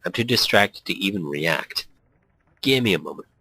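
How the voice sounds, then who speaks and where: A man's voice: deep voice, animated, moderate pace, bright, forceful tone, slightly rough, no echo, rough recording. A man casually reading a visual novel aloud and joking about it, stumbling and correcting himself as he goes. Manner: jokey, laughs often, reads with stumbles and self-corrections. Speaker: let's play host reading a visual novel. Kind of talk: casual commentary and reading aloud with quoted character lines.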